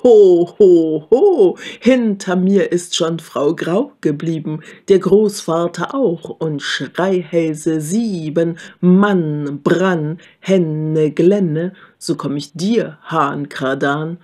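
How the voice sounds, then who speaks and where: A woman's voice: fairly low pitch, drawn-out words, few pauses, dark tone. A woman voicing a cheeky runaway pancake in a fairy tale, boastful and chanting.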